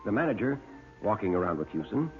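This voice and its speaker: A man narrating a horror tale in classic radio style, deliberate and full of dramatic suspense. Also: fairly low pitch, sing-song, soft background music. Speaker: storyteller and narrator. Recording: muffled narrow-band old radio recording.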